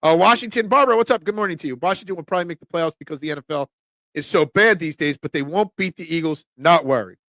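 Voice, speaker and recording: A man's voice: fairly high pitch, fast talker, bright, forceful tone, no echo. A young man with a Philadelphia accent hosting a casual sports talk stream, chatting loosely and answering viewers by name. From Philadelphia area. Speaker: sports talk show host.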